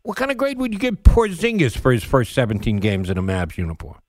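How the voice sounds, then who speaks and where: A man's voice: mid-range voice, wildly swooping pitch, brisk, no room sound, clean studio sound. A man hosting a sports radio interview, putting questions conversationally in casual, punchy short phrases.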